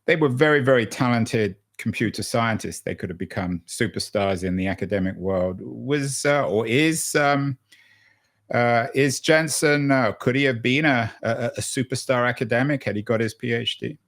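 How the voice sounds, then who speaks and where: A man hosting a podcast, interviewing a guest and framing long questions with repeated words and pauses. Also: mid-range voice, a bit bright. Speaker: podcast host.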